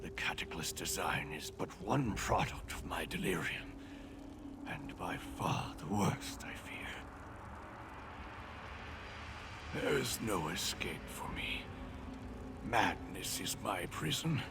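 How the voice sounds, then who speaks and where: An actor playing a mad, blind old prisoner, a sorrowful former priest in a fantasy video game, speaking breathily and haltingly in formal, archaic phrases. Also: male voice, deep voice, expressive, background noise, full character voice. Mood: haunted, sorrowful. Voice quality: breathy, gravelly, wavering. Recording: game music under the voice.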